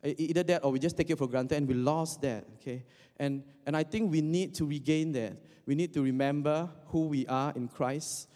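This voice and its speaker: A man's voice: fairly high pitch, normal speed, mellow. A young man from Indonesia giving a casual, joking sermon, full of fillers and restarts. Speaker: preacher. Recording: long hall reverb.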